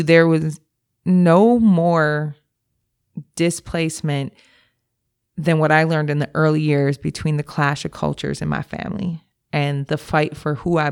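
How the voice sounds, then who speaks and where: Candid woman with a gravelly voice. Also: low voice. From Milwaukee, USA. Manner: candid, emphatic, slangy. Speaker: executive director, guest. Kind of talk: reflective interview answers.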